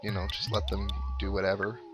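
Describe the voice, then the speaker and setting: A man's voice: lowish voice, flat delivery, a little fast. A man giving casual, chatty video game commentary with wry asides as he plays. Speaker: let's play host. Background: video game music.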